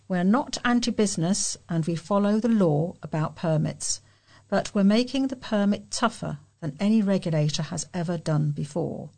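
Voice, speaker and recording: A British woman reads local news articles aloud. Her voice sits a little lower than most women's, and she delivers prepared text as a volunteer reader. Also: animated, moderate pace, gravelly, darkish tone.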